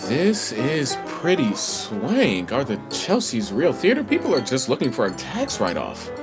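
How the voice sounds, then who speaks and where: Over loud music, an awkward young newcomer understudy acted by a woman speaks politely in a radio play. The delivery is hesitant, with pitch that moves around a good deal. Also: wildly swooping pitch, measured pace, heavily acted. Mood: awkward, curious.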